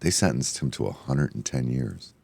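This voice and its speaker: A hoarse man hosting a casual bar-talk show, rambling and excitable, often repeating himself. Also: a bass voice, normal speed. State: hoarse from yelling. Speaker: podcast host. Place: indoor room, bar setting.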